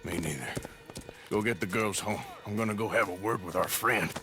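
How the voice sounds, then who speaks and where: An actor playing a gruff American outlaw cowboy in a Western game, with a dry, folksy Southern drawl. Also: male voice, lowish voice, a little fast, bright, forceful tone. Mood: tense. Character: gruff outlaw cowboy, wry and quietly menacing. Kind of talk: Western video game acting.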